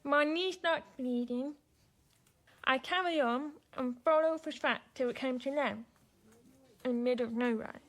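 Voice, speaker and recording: High-pitched, halting teenage girl. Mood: shaken, recounting. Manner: halting, insistent. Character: frightened, insistent deaf teenage girl witness. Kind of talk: naturalistic radio drama acting.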